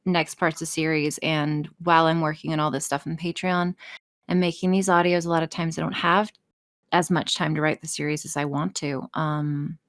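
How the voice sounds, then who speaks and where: A woman's voice: low voice, little pitch movement, moderate pace. A woman chatting casually as a podcast guest, self-deprecating and swearing freely. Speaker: podcast guest, audio creator.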